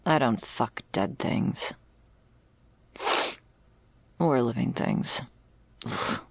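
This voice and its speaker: A woman playing a jaded, sardonic servant of vampires in an intimate ASMR roleplay, husky and deadpan, close to the microphone. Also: very deep voice, drawn-out words, no room sound, full character voice. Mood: sardonic, disgusted. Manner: dry, deadpan, sarcastic, foul-mouthed. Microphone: close, dry microphone.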